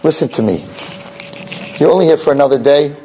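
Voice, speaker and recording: A man's voice: on the high side, a little fast, mellow, slight background noise, close to an everyday voice, low-quality recording. A man voicing a kind, cheerful old artist in a wheelchair in a spoken story, talking in an earnest, insistent way. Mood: earnest, pleading.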